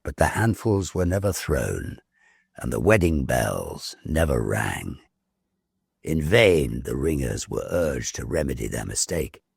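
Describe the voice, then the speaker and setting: A man reading a ghost story as dramatic narration, theatrical, measured and full of suspense. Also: a bass voice, very animated, creaky, slightly rough, dry recording, studio quality.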